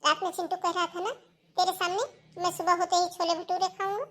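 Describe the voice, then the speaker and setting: A woman's voice: very high voice, level tone, a little fast, fairly bright tone. A woman playing a scheming, scornful older mother who scolds and taunts in a Hindi cartoon moral story. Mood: scornful scolding.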